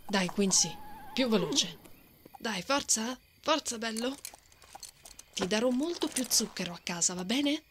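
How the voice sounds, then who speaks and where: A woman's voice: a little high, lively intonation, a little slow, long pauses. Woman acting hot-tempered knight woman, noisy ambience. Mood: urging, bossy. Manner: muttering to herself, often breathy, threatening. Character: brave, hot-tempered, bossy female knight on a rescue. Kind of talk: ASMR roleplay, acted monologue. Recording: noticeable outdoor ambience effects behind.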